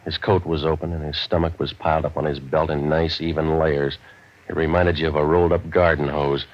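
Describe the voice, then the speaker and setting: Casting: tough, world-weary American gumshoe, low voice, sardonic deadpan, muffled vintage radio sound. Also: male voice. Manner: sardonic, clipped, deadpan wisecracks. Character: cynical wisecracking male private detective, world-weary and tough. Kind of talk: 1940s radio detective drama acting, hard-boiled first-person narration.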